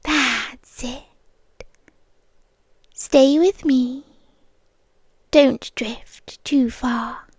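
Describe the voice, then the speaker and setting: British woman doing a yandere vampire gf asmr, british, super slow breathy whispery, seductive n possessive, really close dry mic. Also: sits high, steady tone, no room sound, full character voice. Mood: soothing, controlling. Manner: breathy, drawn-out, often dropping to a whisper. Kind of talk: intimate ASMR roleplay. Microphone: close ASMR microphone.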